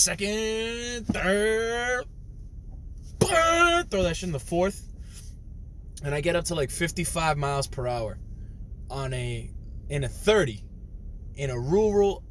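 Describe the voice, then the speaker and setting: Young adult man, animated slangy vlogger, higher pitch, faint vehicle noise. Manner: slangy, animated, talks straight to camera. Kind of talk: casual storytelling vlog.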